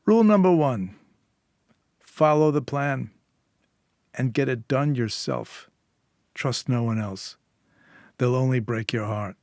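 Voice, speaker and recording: A man voicing a menacing, coolly confident underworld fixer in a story, deliberate, commanding and sardonic. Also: medium pitch, darkish tone, no room sound, studio quality. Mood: knowing, menacing.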